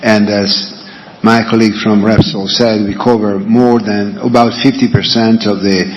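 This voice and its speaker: Low-voiced man in a muffled, reverberant hall. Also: flat delivery, moderate pace. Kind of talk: unscripted formal remarks. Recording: muffled, long hall reverb, faint noise.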